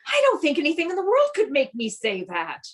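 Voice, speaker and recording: Woman as radio-drama society wife: highish, animated, husky, quick to gush or bristle. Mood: firm, indignant. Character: warm-hearted society wife, excitable, primly indignant. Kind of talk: radio play acting.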